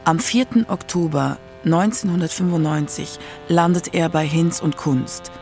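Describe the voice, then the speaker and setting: Gravelly, higher-pitched male documentary narrator. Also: a little slow, a little mellow, soft background music. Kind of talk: scripted narration.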